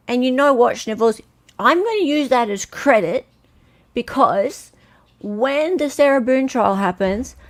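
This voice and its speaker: Chatty Australian woman hosting a livestream. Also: fairly high pitch. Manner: chatty, excitable, reads out chat comments. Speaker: livestream host. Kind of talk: casual live-stream chat.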